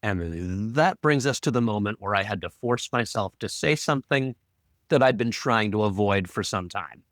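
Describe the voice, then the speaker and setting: A man's voice: on the low side, animated, moderate pace, fairly bright tone, dry recording. A man co-hosting a casual podcast discussion as its audio producer, with dry, teasing banter.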